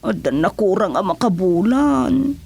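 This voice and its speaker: A woman's voice: medium pitch, lively intonation, vocal fry, darkish tone, slightly rough, heavily acted. A woman with an Ilocano accent playing a sickly, plaintive older villager in a radio drama. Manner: drawn-out, plaintive.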